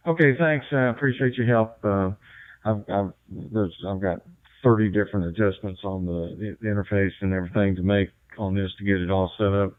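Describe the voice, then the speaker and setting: A man's voice: on the low side, steady tone, normal speed, no echo, narrow, tinny audio. A radio operator, a man, talking politely on air and often stumbling through restarts. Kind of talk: casual on-air chat. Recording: thin radio transmission.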